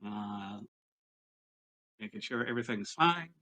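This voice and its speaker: Bright-voiced nerdy man chatting in a game. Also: fairly low pitch, expressive. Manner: nerdy, technical, halting explanations. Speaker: player in a tabletop game. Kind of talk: casual chat.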